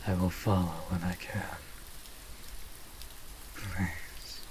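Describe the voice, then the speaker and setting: A man playing a weakened, brooding vampire master in an audio drama, halting and breathy, often slipping into whispers. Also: low voice. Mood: urgent, pleading. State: weak, strained, sighing. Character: weakened, brooding male vampire master, protective yet hungry. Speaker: voice actor. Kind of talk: dramatic roleplay audio acting. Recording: steady rain noise over the voice. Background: rain.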